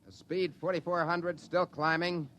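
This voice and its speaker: Man cast as a cool wisecracking rocket pilot, matter-of-fact cockpit talk, fairly high voice. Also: little pitch movement, moderate pace, fairly bright tone, close to an everyday voice. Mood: focused. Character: wisecracking young male test pilot, steady under pressure. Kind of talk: 1950s radio drama acting.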